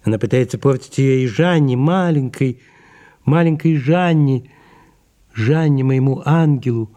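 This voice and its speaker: A man's voice: medium pitch, measured pace, mellow, natural voice. A man acting a haughty middle-aged French mother in an audio drama, scolding and full of melodramatic, self-pitying indignation. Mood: aggrieved, cutting.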